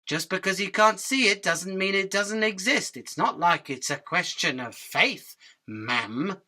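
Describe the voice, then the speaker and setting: A man's voice: sits high, lively intonation, a little slow, sharp tone, heavily acted. A man playing a small, earnest fly in a radio drama, bargaining in a formal, urgent and polite way. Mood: urgent, persuasive. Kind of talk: illustrated radio drama acting.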